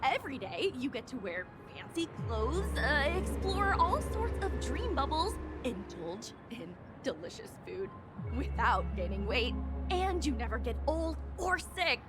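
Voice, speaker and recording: A pleasure-seeking lady guest in a video game. Her voice is high and swings widely in pitch as she gushes expressively, with music behind her. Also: unhurried. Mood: carefree. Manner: gushing, expressive. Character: pleasure-loving, well-mannered female dream resort guest. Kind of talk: scripted game voice acting.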